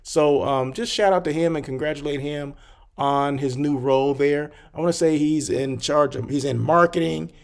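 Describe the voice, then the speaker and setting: Man, gravelly, a bit hoarse, rambling live-stream host, fairly high voice, lots of fillers. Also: normal speed. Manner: rambling, chatty, many fillers and asides. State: slightly hoarse, clearing throat. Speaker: hotel consultant hosting a live show. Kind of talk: casual livestream chat.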